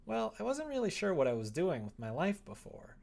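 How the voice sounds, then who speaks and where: Male actor as easygoing young visual-novel senpai girl, high lilting pitch, kind and sheepish. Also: huge pitch swings, brisk, creaky, darkish tone, exaggerated voice. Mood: casual, sheepish.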